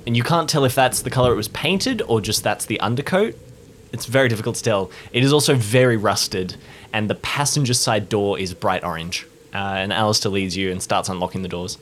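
A man's voice: lowish voice, fairly quick, fairly bright tone, slight background noise. A man casually improvising narration as a player in a tabletop roleplaying game.

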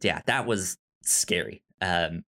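Slow, low-pitched man with halting delivery. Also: pushed and bright. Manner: halting, stammers on repeated syllables. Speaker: film podcast co-host. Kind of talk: casual film discussion.

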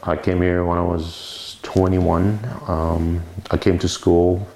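A deep-voiced man recalls his life in a loose, meandering way, often correcting himself. His voice is gravelly and rough. The room is echoey, with a light hiss behind him. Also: flat delivery, slow, darkish tone. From Lebanon. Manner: rambling, self-correcting storyteller. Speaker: winemaker interviewee. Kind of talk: oral history interview. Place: winery. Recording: roomy recording with faint noise.

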